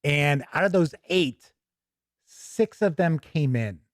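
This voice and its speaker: A man hosting a tabletop gaming livestream, chatting casually with viewers in a folksy, jokey, animated way. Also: on the high side, slow, no room sound, clean studio sound.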